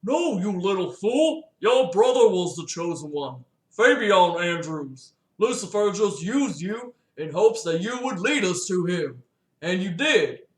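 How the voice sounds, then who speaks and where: A woman voicing a gruff, cold-hearted middle-aged father in a flat drawl, imitating a well-known cartoon dad. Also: lowish voice, unhurried. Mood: scornful. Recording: roomy echo.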